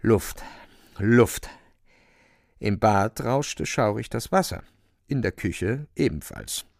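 A man's voice: lowish voice, animated, takes it slowly, creaky, darkish tone, no echo, studio quality. A man narrating a literary radio drama in a dramatic, scripted storytelling style. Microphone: close, dry studio microphone.

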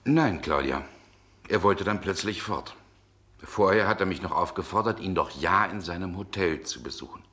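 An actor playing a brooding, world-weary middle-aged gentleman in a 1960s German radio drama, with genteel, measured diction. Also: low-pitched, animated. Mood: troubled.

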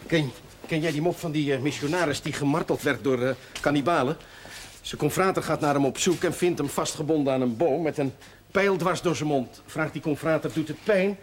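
An actor playing a well-meaning, chatty man in a television drama, speaking a little haltingly. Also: a little high, close to an everyday voice. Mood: jovial. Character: jovial, well-meaning man who tells old jokes. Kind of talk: naturalistic television drama acting. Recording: slightly noisy old TV soundtrack.

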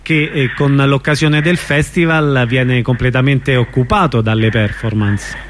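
A man's voice: medium pitch, steady tone, unhurried. A man interviewing a guest on the radio in a casual, conversational style. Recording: noisy radio broadcast audio.

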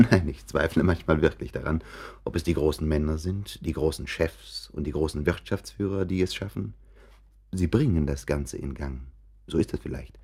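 A man's voice: rumbling low, normal speed, vocal fry, mellow, husky, full character voice. A man playing a chatty, confiding narrator in a radio play, musing and interrupting himself with asides. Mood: amused, musing. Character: chatty, confiding male narrator showing listeners around an apartment.

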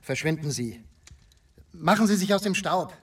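A hard-nosed inspector in a radio play, blunt and urgent. The voice is on the high side and sits slightly back from the microphone. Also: male voice, animated, moderate pace, breathy edge, far from the mic. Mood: stern warning. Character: blunt male police inspector giving hard warnings. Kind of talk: radio drama acting.

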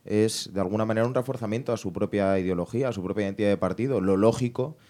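A man speaking as a guest on a political radio panel, building his argument in a measured, methodical way. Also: on the low side, dark tone.

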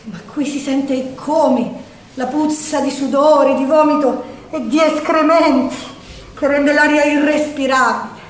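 A woman's voice: high-pitched, slight background noise. A woman acting a shaken young partisan caught in a wartime air raid, in an emotive theatrical monologue with a Roman Italian accent. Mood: dazed, grave. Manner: emotive, hushed to urgent, with weighted pauses. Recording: roomy echo, distant microphone.

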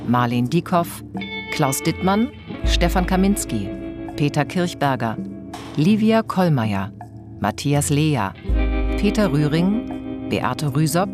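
A woman's voice: low voice, sing-song, slow pace, pauses often, music in the background. A woman announcer giving a scripted reading of radio drama credits.

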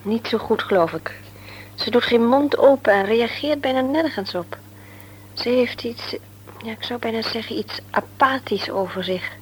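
An actress playing a worried, thoughtful mother in a Dutch radio play, speaking in a measured, explanatory way. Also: on the high side, natural voice. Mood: worried, subdued. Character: Els, worried mother and former nurse, thoughtful. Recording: humming, noisy radio play recording.

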